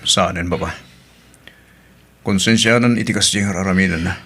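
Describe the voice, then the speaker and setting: A man's voice: sits low, fairly even intonation, normal speed, a bit bright, a little hiss or hum. A Filipino man playing a betrayed, resigned husband in an audio drama, speaking with a heavy heart.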